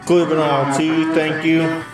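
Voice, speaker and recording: Higher-voiced young man vlogging casually. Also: level tone, takes it slowly, over loud music. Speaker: video host demonstrating a home intercom system. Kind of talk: casual handheld vlog demonstration. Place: bedroom and home workshop. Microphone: handheld camera or phone, held by the speaker.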